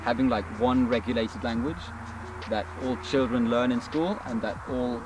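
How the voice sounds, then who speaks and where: A man's voice: fairly even intonation, normal speed. A man with an Austrian accent and German as his mother tongue, explaining things in casual conversation as a guest. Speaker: podcast guest. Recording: distant mic, music and vehicle rumble behind.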